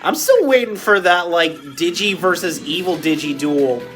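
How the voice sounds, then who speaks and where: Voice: medium pitch, animated, normal speed, a bit bright, faint music behind. Stuffy-nosed reactor, chatty. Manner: chatty, sympathetic asides. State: stuffy nose from allergies. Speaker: video reactor. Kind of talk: casual reaction commentary.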